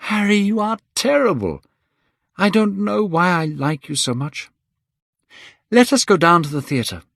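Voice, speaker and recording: A man voicing an excitable, lovestruck young man, speaking high and eager in a storybook reading. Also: measured pace, vocal fry, no echo, studio quality, full character voice.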